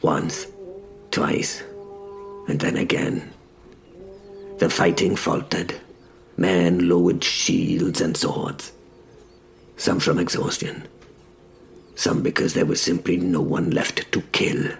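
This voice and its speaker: Low gravelly guy narrating a story, really unhurried and dramatic, bits of background noise. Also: level tone. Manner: measured, broken into short phrases. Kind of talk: first-person dramatic scripted narration.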